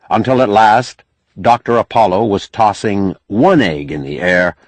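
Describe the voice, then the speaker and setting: A man's voice: low voice, breathy edge, no echo. A man reading scripted fiction as an audiobook narrator.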